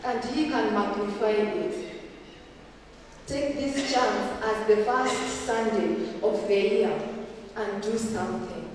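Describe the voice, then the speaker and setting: Young female preacher, Kenyan English accent, exhorting, slowing for emphasis; handheld mic, long church reverb, faint ambience. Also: medium pitch, a little hiss or hum. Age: young adult. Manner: earnest exhorting, slows for emphasis, gestures while speaking. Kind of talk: sermon. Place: church hall, indoors. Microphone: handheld mic, camera from a distance. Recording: echoey hall from far off.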